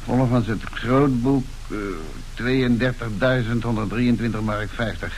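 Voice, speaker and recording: A man's voice: medium pitch, fairly even intonation, measured pace, close to an everyday voice, rough recording. A man acting in a radio play as a seedy, cynical henchman and former tax official, dry and matter-of-fact. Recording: hissy old radio recording.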